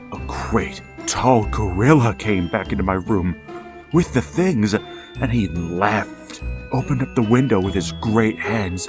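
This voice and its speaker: A low, unhurried voice tells the tale as a haunted, resigned old father, played by a man. Each line comes out slow and halting, with clear music playing under him, as in a vintage-style radio horror play. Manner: slow, halting, hushed storytelling. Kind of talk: radio drama narration.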